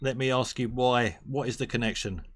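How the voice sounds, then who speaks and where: A man's voice: mid-range voice, normal speed, fairly bright tone. A man hosting a casual game stream, chatty and jokey as he reminisces. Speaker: stream host.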